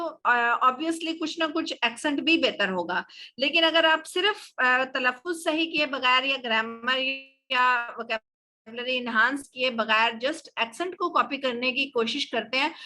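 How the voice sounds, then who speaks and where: A woman's voice: high-pitched, unhurried, pushed and bright. A woman with a Pakistani accent teaching a live online English class, answering questions in explanatory Urdu mixed with English. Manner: explanatory, code-switching between Urdu and English.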